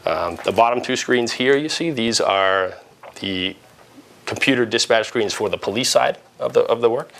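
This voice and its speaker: A man's voice: medium pitch, normal speed, fairly bright tone. A man explaining things informally, step by step, as a supervisor giving an interview and tour. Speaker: police dispatch supervisor. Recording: clearly noisy room ambience.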